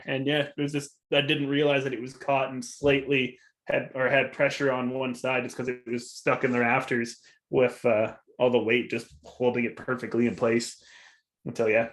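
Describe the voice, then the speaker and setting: A man's voice: medium pitch, flat delivery, normal speed, fairly bright tone. Casual, chuckly man with mid-range voice. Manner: chuckles through his talk. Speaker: co-host of a car enthusiast live show. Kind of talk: casual chat and storytelling.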